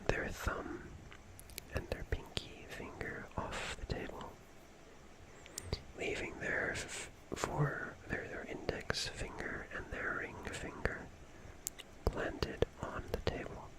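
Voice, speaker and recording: A young man whispering casual, rambling ASMR in a hushed, gentle manner with long pauses. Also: poor audio. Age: young adult. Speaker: ASMR host.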